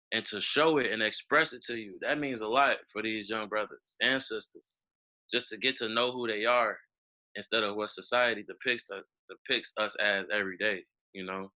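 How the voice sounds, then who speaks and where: A man's voice: fairly low pitch, pushed and bright, rough recording. A young man from Chicago, an educator speaking earnestly as a podcast guest and often adding "you know". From Chicago, United States. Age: young adult. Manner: earnest, repeats words while thinking, frequent "you know". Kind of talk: conversational interview answer.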